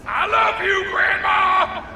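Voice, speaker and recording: An actor voicing a loud, emotional, devoted grandson in a video game, bellowing each phrase with gravelly intensity. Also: male voice, very high-pitched, steady tone, slow pace, over a noisy crowd, heavily acted. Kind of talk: over-the-top video game character acting. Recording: distant shout with long echoing reverb.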